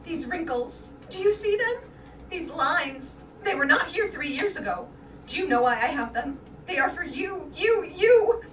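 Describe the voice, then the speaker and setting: A woman's voice: high-pitched, animated, a little slow. A woman playing a vain, anxious aging wife in staged reader's theater, dramatic and emphatic. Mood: anguished. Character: vain, anxious aging wife awaiting her soldier husband. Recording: roomy, distant mic, faint noise.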